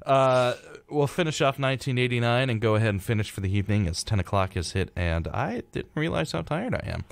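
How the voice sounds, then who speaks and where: A man's voice: mid-range voice, animated, a little fast, gravelly, dry recording. A young American man hosting a radio show in a casual, laid-back way, reading out facts and adding offhand remarks. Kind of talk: casual conversational radio talk. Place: radio studio.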